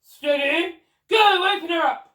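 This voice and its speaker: A woman's voice: very high-pitched, a little slow, fairly bright tone, voice pushed up. A woman reading aloud and voicing a pompous, hot-tempered old aristocratic Duke who bellows his threats and orders. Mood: commanding. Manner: bellowing, blustering. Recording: roomy echo, distant from the mic.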